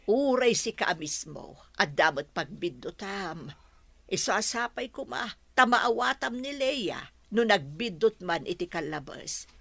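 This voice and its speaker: Woman acting a bright, emphatic Ilocano mother. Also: mid-range voice, big pitch swings, measured pace, vocal fry, no echo, full character voice. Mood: pleading. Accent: Ilocano Filipino. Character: Leia's protective, forgiving older mother, pleading and firm. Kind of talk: amateur audio drama acting.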